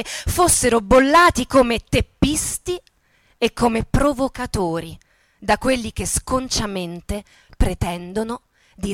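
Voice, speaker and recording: Radio play narrator: female, forceful and high, fiercely emphatic, theatrical reading of revolt. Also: dry recording. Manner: emphatic, declamatory. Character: impassioned female narrator of workers' revolt and hostile headlines.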